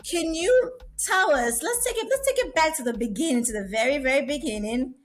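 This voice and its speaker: A woman's voice: high voice, animated, a little fast, a crowd in the background. A woman from Liberia co-hosting a podcast, asking questions in a bubbly, upbeat way. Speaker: podcast co-host. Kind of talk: casual podcast interview.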